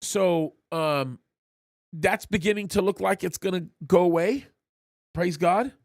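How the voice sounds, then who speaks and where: A man's voice: a little slow, dry recording, studio quality. A man hosting a live talk show as a pastor, arguing his points emphatically and often repeating words as he starts a thought. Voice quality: high-pitched voice.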